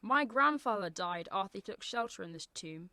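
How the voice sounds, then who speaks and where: A woman's voice: mid-range voice, animated, normal speed, bright, forceful tone, no echo. A teenage girl playing a judgmental, gossiping, superstitious village girl in a radio play. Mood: ominous. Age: teens.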